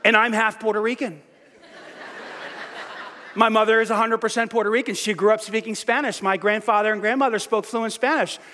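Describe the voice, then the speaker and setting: A middle-aged man preaching a sermon as pastor, lively and self-mocking, often restarting his sentences. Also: high-pitched, brisk, in a large hall.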